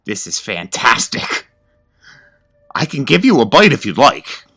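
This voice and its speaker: A gleeful, menacing cannibal from a horror story acted by a man. The voice sits well above a deep natural register, with a bright, forceful edge. He taunts and savors, hungry and sadistic, with music playing behind. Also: wildly swooping pitch, unhurried, breathy edge, exaggerated voice. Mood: gloating glee. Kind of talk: horror story character acting.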